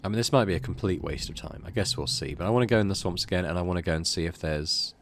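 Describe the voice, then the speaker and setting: A man's voice: deep voice, quick pace, slight background noise. A man doing casual game commentary, thinking aloud in a hesitant, rambling way. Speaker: video game let's player.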